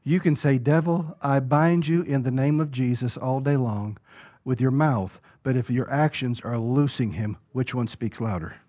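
A man preaching a sermon, building from steady talk to loud, emphatic peaks. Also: mid-range voice, moderate pace. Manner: rises to loud emphatic preaching peaks, acts out quoted voices. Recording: dull lo-fi sound.

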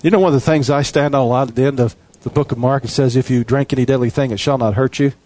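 A man's voice: mid-range voice, fairly even intonation, fast, dark tone. A man preaching a sermon with an emphatic, repetitive cadence.

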